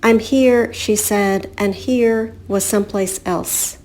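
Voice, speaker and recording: Slow, measured woman reading poetry. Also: medium pitch, steady tone, darkish tone. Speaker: poet reading own work.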